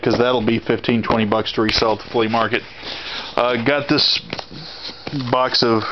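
Rambling Texan man, lo-fi camera audio. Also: mid-range voice. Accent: Texas. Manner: rambling, plainspoken, frequent restarts. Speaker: auction reseller vlogger. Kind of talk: casual haul video walkthrough. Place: indoor storage room. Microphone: handheld camera. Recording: dull lo-fi camera audio with clear noise.

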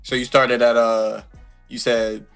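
Relaxed young podcast host. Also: male voice, medium pitch, unhurried, clear, resonant tone, soft background music. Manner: relaxed, friendly, hesitant fillers. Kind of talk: interview questions.

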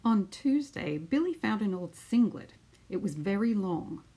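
A woman in her fifties, a teacher, speaking to pupils and reading a children's picture book aloud with deliberate, emphatic phrasing. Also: mid-range voice, very animated, mellow, slightly rough. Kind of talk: children's storybook read-aloud.

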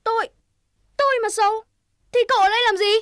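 A woman voicing a gentle, naive, earnest little rabbit in a children's cartoon. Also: extremely high pitch, fast, slight background noise. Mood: indignant. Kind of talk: Vietnamese children's cartoon acting.

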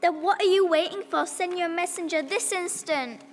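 Very high-voiced, devoted mother goddess played by a woman. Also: normal speed. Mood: grieving, demanding. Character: Demeter, anguished, devoted mother goddess of harvest. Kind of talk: school audio drama acting. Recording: long reverberant tail.

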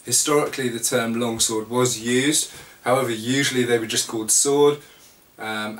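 Congested British man in an echoey room. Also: mid-range voice. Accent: British English. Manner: relaxed, explanatory, with many fillers. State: congested, recovering from lost voice. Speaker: channel host. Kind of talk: casual talk to camera. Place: small room indoors. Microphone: camera mic at a short distance. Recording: echoey room, slightly distant mic.

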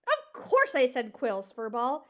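A woman's voice: high-pitched, wildly swooping pitch, a little slow, bright, forceful tone, full character voice. A woman voicing a grumpy old male porcupine in a storybook, scornful, blustering and given to bellowing insults. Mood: grumbling. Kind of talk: animated storybook character reading.

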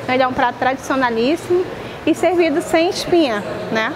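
A woman's voice: high-pitched, quick pace, crowd noise, low-quality recording. A middle-aged woman chef, explaining regional dishes in an interview in a restaurant dining room. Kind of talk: on-camera interview.

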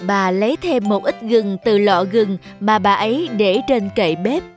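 A woman's voice: mid-range voice, a little slow. A woman narrator reading a scripted children's story. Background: jingly children's music.

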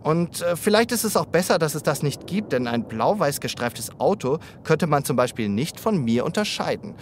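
A woman's voice: low voice, lively intonation, moderate pace, slight background noise. A woman voicing a cheeky, playful talking zebra in a children's radio play, exclamatory and teasing.

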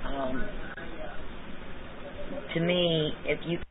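A woman chatting casually in an online study group, with a loud, carrying voice and her mic set far off on her desk. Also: low-pitched, steady tone, very slow. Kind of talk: casual chat. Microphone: mic set far off at the end of her desk. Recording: tinny online voice chat.

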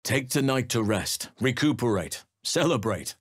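An actor playing a burly middle-aged male elf druid in a fantasy game, earnest and gentle, with measured, formal phrasing. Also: mid-range voice, animated, slightly rough, no echo, clean studio sound. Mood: grave, gentle. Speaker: video game character actor.

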